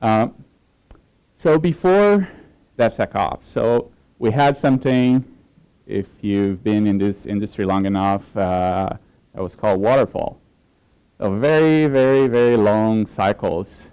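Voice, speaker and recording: A man with a Portuguese accent gives a technical talk at a conference. His voice sits fairly low and sounds mellow and smooth. He speaks in a relaxed way, with many fillers and restarts. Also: a little slow, poor audio.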